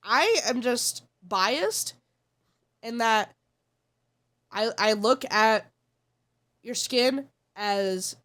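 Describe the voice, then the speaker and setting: A woman's voice: fairly high pitch, expressive, extremely slow, pauses often, fairly bright tone. A congested woman streamer chatting casually and giving blunt, opinionated takes. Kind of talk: casual live-stream chat.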